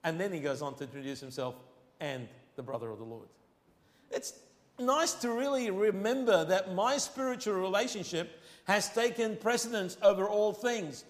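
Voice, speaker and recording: High-voiced Arabic-accented preacher, echoing hall. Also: male voice. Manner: emphatic, slows and rises on key phrases. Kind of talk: sermon. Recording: long hall reverb.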